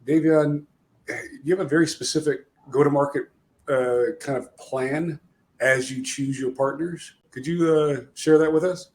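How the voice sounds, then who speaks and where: A man's voice: on the high side, fairly even intonation, measured pace, darkish tone. A man co-hosting an interview, praising his guest and asking a question in business terms. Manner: admiring, uses business jargon. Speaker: co-host. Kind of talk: casual interview talk. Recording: faint background noise.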